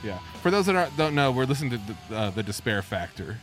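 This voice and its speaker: A man with a deep voice, a podcast guest explaining guitar gear and technique in a knowledgeable, technical way. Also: very animated, quick pace. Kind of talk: casual chat about music gear. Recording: dry, music playing behind.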